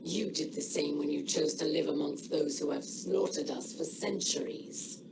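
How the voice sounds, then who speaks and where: Weary female alien leader, deep rasping growl, formal, defiant, video game drama, very noisy backdrop. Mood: insistent. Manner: solemn, deliberate, formal.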